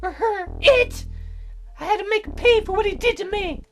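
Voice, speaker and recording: Bitter, self-righteous wife for a visual novel, acted by the player, pitched high, light music underneath. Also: moderate pace, a bit bright. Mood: cold, bitter. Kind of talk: visual novel character voicing. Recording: music behind.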